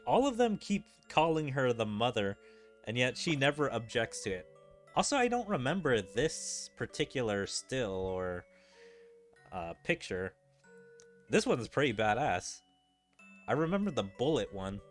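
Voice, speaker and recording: A man's voice: medium pitch, big pitch swings, normal speed. A man giving casual video game commentary as a streamer. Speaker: gaming streamer. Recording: game music behind the voice. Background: video game music.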